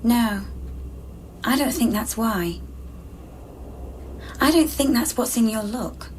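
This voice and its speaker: A fairly high-voiced, eerie woman in an intimate radio play. She is hushed, childlike and searching, like someone forgetful who clings to her lover, with clear noise behind her. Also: lively intonation, slow pace. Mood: clinging, uneasy. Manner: hushed, searching, many short simple questions. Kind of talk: intimate radio drama acting.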